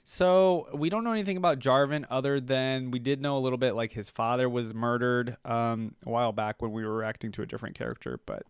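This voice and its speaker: A man's voice: medium pitch, brisk, hardly any pauses, clear, resonant tone, no room sound. A man giving casual reaction commentary as host of a gaming video.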